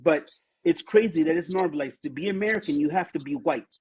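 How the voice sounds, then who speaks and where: A man's voice: on the high side, fast, darkish tone, husky. A man in a heated livestream debate, insistent and sarcastic, repeating his points again and again. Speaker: livestream participant.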